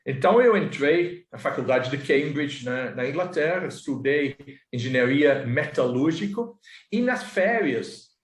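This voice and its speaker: A man's voice: on the high side, lively intonation, a little slow, reverberant. A man in his sixties from England, a native English speaker with an English accent, telling his life story as an interview guest with many restarts and fillers.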